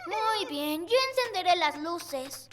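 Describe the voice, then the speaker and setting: A woman's voice: very high voice, lively intonation, takes it slowly, heavily acted. A woman voicing a confident, eager little boy rabbit hero in a Latin American Spanish children's cartoon dub. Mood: firm. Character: plucky little boy rabbit, confident leader playing superhero. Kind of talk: animated children's cartoon dubbing.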